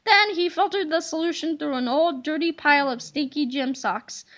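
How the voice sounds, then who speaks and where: A woman reading a children's book aloud with lively, expressive storytelling. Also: sits high, normal speed. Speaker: read-aloud narrator.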